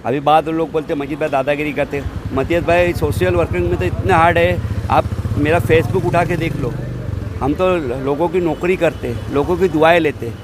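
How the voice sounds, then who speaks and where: A man's voice: a little high, fairly even intonation, moderate pace. Older man arguing heatedly on a street. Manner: heated, rambling self-defense. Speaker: shopkeeper defending himself. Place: city street at night, outdoors. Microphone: reporter's handheld mic. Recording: noisy street.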